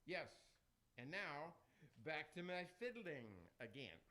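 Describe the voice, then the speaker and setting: A man's voice: fairly high pitch, big pitch swings, slow pace, over a noisy crowd. A man playing a vain, peevish damned soul with a fussy, theatrical delivery, in an amateur radio play. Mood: peevish, indignant.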